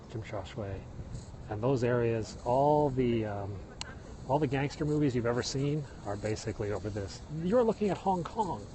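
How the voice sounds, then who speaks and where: A middle-aged man with a Midwestern American accent talks to his viewers as he walks a hillside trail. He sounds like a chatty tour guide pointing out the sights. His phone picks up loud cicadas and insects buzzing around him. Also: medium pitch, noisy background. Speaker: live-streaming vlogger. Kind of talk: casual walking livestream chat. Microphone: phone held while walking.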